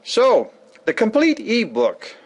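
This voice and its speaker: A man giving a dramatic, sermon-like scripted lecture reading, with emphatic, deliberate phrasing and a theatrical delivery. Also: high voice, wildly swooping pitch. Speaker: author reading a sample of an e-book lecture.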